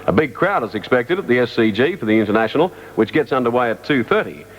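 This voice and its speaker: A man's voice: medium pitch, animated, fast. Australian male radio newsreader, dull-sounding recording. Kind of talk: scripted news bulletin reading. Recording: dull 1975 AM radio aircheck.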